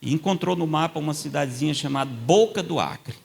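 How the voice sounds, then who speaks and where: An older man preaching a sermon in a church. He tells stories in a conversational way, checks in with the congregation, and grows louder and higher when he quotes scripture. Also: a little fast, vocal fry, a little hiss or hum. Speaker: guest preacher. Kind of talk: sermon with storytelling.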